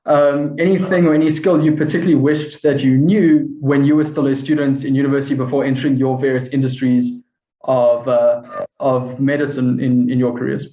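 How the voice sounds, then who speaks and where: A man moderates a panel politely, hesitating often and filling pauses. His audio is thin and phone-like, with a roomy echo around it. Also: medium pitch, little pitch movement. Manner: hesitant, polite, many fillers. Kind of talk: hosting an online panel. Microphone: video call. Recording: tinny, echoey call audio.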